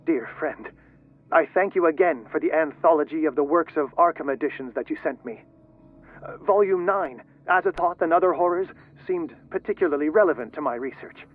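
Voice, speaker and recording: A man's voice: on the high side, brisk. Tinny radio-filtered solemn scholarly man. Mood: solemn, confessional. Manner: formal, literary. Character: solemn scholarly male letter-writer, obsessed with occult secrets. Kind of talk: dramatic letter reading in a video game. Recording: tinny, narrow radio-like sound.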